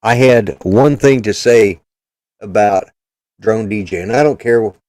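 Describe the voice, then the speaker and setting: Blunt, rambling older man, low and dry. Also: unhurried, a little mellow, no room sound. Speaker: podcast host. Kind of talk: casual political chat.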